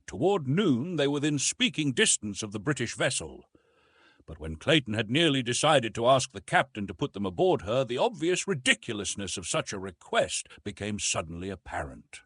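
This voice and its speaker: A man reads a story aloud as an audiobook narrator. His mid-range voice has a husky edge and a very animated melody. The reading is dramatic and scripted, with a dry sound free of room echo. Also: medium pitch, normal speed.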